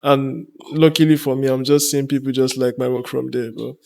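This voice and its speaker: A man's voice: medium pitch, flat delivery, moderate pace, gravelly, a little mellow. A man speaking as a podcast guest in casual, conversational chat.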